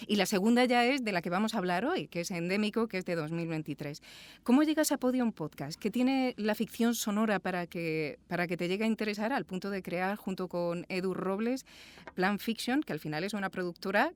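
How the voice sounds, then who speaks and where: A woman's voice: medium pitch, animated, brisk, dry recording. A woman hosting a podcast interview, effusive and chatty. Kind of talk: warm podcast presenting and interviewing. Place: small sound booth.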